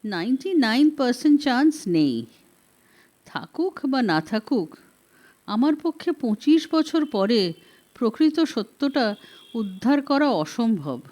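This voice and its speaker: Woman reading as earnest male friend, probing, insistent, lively pitch swings, audiobook character. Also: takes it slowly, long pauses. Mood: doubtful. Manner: pointed, questioning, insistent. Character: earnest, probing man, a loyal childhood friend.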